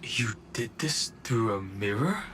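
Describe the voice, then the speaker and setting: An actor playing an earnest, thoughtful young hero in a video game, serious and deliberate. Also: medium pitch, expressive, heavy background noise, lightly acted. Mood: earnest, determined. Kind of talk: video game character acting.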